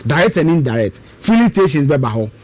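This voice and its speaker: A Ghanaian man with a fairly high voice that swings widely as he explains. He is emphatic and repeats his points as an analyst would, slipping between English and Twi. The sound is dry and close. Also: sing-song, fast, darkish tone, no room sound. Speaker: guest analyst. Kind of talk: animated explanatory radio discussion.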